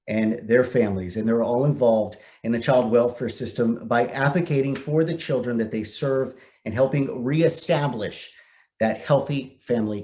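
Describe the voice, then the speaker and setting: A man's voice: mid-range voice, darkish tone. A man presenting scripted remarks as host of an online community event over a video call. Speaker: event host, news anchor.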